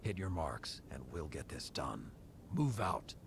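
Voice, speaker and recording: An actor playing a stern veteran warlord in a video game, gruff and commanding in short, terse orders. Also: male voice, a bass voice, very animated, unhurried, background noise, heavily acted. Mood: terse, resolute. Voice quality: gravelly. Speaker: military commander. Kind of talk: dramatic game voice acting.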